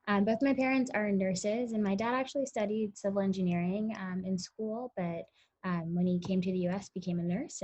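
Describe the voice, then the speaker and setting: A woman, answering interview questions in a chatty way full of ums and likes. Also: medium pitch. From Connecticut, US. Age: 20s. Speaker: interview guest, tech startup founder. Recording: muffled lo-fi audio.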